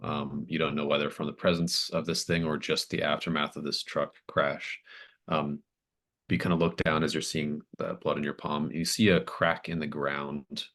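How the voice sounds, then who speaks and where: Very low-pitched halting game master. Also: flat delivery, a bit bright. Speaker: game master of a tabletop roleplaying game. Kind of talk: improvised game narration.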